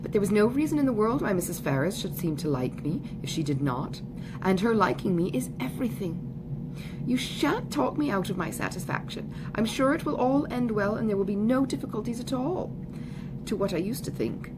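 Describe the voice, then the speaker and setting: A woman's voice: mid-range voice, animated, fairly quick, background noise, voice pushed up, close to an everyday voice. A woman reading an audiobook, voicing a gushing, self-satisfied young woman with falsely sweet, emphatic chatter. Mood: smug, insistent. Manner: effusive, emphatic, ungrammatical genteel chatter. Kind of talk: audiobook character dialogue.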